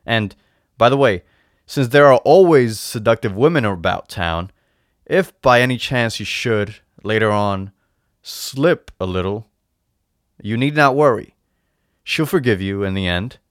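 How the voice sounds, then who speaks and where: A man's voice: lowish voice, expressive, unhurried, no room sound, clean studio sound. Man acting a sly, coaxing old shopkeeper. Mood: reassuring. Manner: persuasive, emphatic, savoring repeated words. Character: sly old male shopkeeper selling potions, patient and coaxing. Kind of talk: storybook character voice in a read-aloud tale.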